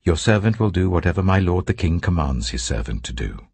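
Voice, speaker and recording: A male narrator acts out a deferential servant of ancient scripture with a British accent. The voice is deep and heavily husky, recorded dry. The manner is humble, respectful and submissive. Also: very animated, brisk, a little mellow, dry recording, full character voice. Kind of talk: dramatized scripture reading.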